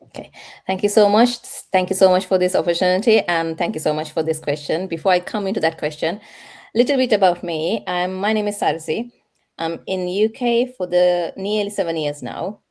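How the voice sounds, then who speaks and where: A woman in her thirties with a Sri Lankan accent, giving a personal testimonial as an interview guest in a halting, self-correcting way. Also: lowish voice, a little mellow. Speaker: guest, online business owner.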